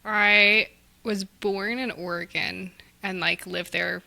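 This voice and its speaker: Bright, gravelly-voiced young woman co-host. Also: mid-range voice, slow. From Texas. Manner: relaxed, hedging with likes and ums. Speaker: podcast co-host. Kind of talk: casual chat.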